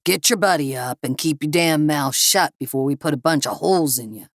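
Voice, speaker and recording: A woman's voice: low voice, a little fast, dry recording, studio quality. Woman voicing a menacing, snarling armed thug. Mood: angry, commanding. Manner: barking, threatening commands. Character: menacing, foul-mouthed armed male thug, snarling orders.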